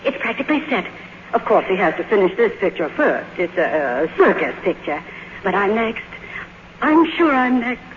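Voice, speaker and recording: An actress playing an aging silent film queen in a radio drama, grand, fragile and possessive, with halting theatrical delivery. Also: medium pitch, sing-song, natural voice. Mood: triumphant. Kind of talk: 1950s radio drama acting. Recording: noisy, dull old radio broadcast recording.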